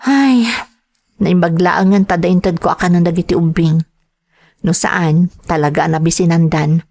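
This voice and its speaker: A woman's voice: lowish voice, fairly even intonation, a little slow, darkish tone. A woman playing a caring, worried village mother and wife in an Ilocano radio drama, speaking expressively and with sympathy. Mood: worried, pitying. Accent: Filipino, Ilocano. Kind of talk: radio drama acting with narration.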